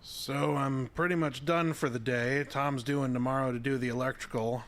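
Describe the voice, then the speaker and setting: A man's voice: mid-range voice, fairly even intonation, normal speed, fairly bright tone, close to an everyday voice. An actor playing a folksy older handyman and doting grandfather in a naturalistic audio drama, courteous and plain-spoken. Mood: courteous, easygoing.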